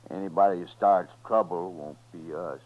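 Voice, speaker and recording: A man playing a menacing American gunman in a 1950s radio western, slow, terse and folksy in his speech. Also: sits low, fairly even intonation, phone-quality sound. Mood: cold, wary. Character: slow, menacing male Old West gunman.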